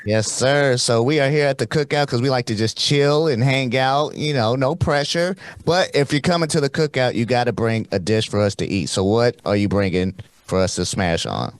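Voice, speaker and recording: Upbeat American radio host, dry sound. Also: male voice, mid-range voice, normal speed, no echo. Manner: energetic, hype.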